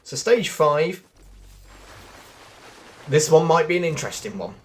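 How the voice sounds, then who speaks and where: A man's voice: a little high, sing-song, a little slow, slightly rough. A man hosting a casual gameplay walkthrough, thinking aloud and talking straight to his viewers. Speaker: gaming video host.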